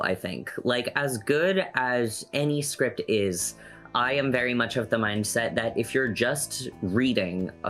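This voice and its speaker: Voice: mid-range voice, a bit bright. An actor talking effusively in a casual group chat, speaking loosely and informally. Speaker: actor on the show. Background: background music.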